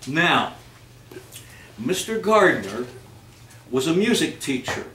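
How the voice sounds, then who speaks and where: A man's voice: on the high side, big pitch swings, slow, pushed and bright. Male host for live holiday readings and quiz banter, theatrical and playful, heard from a distance in a noisy, echoing hall. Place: indoor community event before an audience. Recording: echoey room, distant mic, noisy audience.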